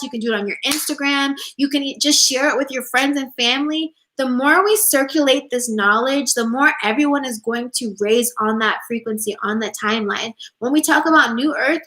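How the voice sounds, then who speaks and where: A woman in her twenties talks to her viewers in a loose, upbeat way, filling her sentences with "like." Her voice sits a little high and sounds bright and clear. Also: rough recording. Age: 20s. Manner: chatty and upbeat, many "like"s, reads out viewer comments. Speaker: spiritual coach and vlogger. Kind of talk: casual livestream chat answering viewer questions.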